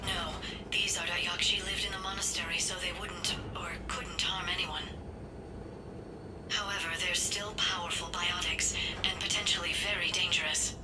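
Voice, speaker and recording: A woman's voice: fairly low pitch, fairly even intonation, normal speed, distant mic, over music, close to an everyday voice, poor audio. A woman playing a serious, analytical alien intelligence expert in a video game. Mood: grave, informative.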